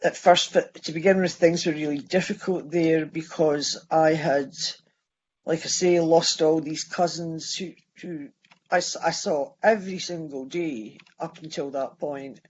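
A Scottish man in his sixties sharing his life story at a recovery meeting, halting and restarting as he goes. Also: a little high, fairly even intonation, breathy edge, lo-fi sound. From Scotland. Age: 60s. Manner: halting, with many restarts and pauses. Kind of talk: personal testimony.

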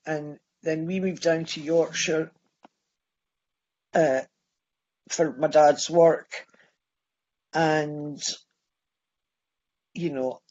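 A Scottish man in his sixties sharing his life story at a recovery meeting, halting and restarting as he goes. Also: a little high, darkish tone, muffled recording. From Scotland. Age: 60s. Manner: halting, with many restarts and pauses. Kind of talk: personal testimony.

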